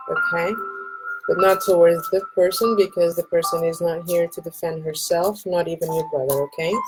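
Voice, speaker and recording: A woman from Portugal with a Portuguese accent, hosting a live card reading. She speaks gently and reassuringly and checks in often with "okay?". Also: fairly low pitch, rough recording. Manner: soft, reassuring, often asks "okay?" and laughs. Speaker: tarot reader and live stream host.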